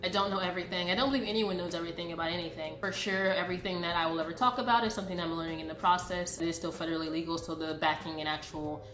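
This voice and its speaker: A woman's voice: on the low side, fairly even intonation, very fast, hardly any pauses, a bit bright. A woman in her late twenties with a congested voice from a cold, chatting casually and familiarly to her viewers as a vlogger. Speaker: YouTube vlogger. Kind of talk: casual direct-to-viewer channel update. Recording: roomy echo, background music. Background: music.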